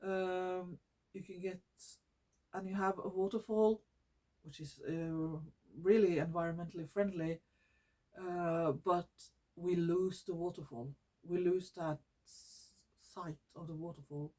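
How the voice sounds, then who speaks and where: A woman's voice: fairly low pitch, fairly even intonation, a little slow, dark tone. Norwegian woman vlogging, hesitant, many fillers. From Norway. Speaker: environmental vlogger. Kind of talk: casual talk to camera. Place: living room at home.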